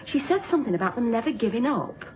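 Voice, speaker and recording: An actress playing a wry, hesitant British witness in a detective radio drama, answering in a halting, colloquial way. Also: female voice, fairly high pitch, big pitch swings. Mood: hesitant, recalling. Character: wry, hesitant female witness in a murder case. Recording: dull lo-fi radio recording, faint noise.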